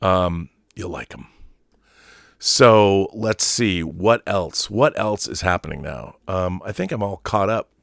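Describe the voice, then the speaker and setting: A man's voice: low-pitched, lively intonation, slow pace, vocal fry, fairly bright tone, no echo. Emphatic man in his fifties, dry close sound. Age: 50s. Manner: opinionated, emphatic, profane, repeats himself for emphasis. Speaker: livestream host. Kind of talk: casual livestream commentary, reading viewer messages.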